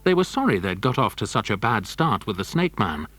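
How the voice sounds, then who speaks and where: A man's voice: on the low side, sing-song, brisk, a bit bright, dry recording. A man narrating a children's adventure story from a script.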